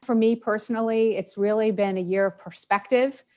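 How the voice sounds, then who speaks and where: A woman's voice: medium pitch, steady tone, normal speed, mellow. A woman, a retail executive, speaking in a panel discussion in a conversational manner with many fillers.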